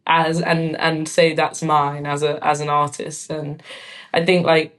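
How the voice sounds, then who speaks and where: Low-voiced woman, thoughtful guest. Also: level tone, measured pace. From London. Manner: thoughtful, frequent "like" and "um" fillers. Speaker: guest artist and filmmaker. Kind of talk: casual conversational interview.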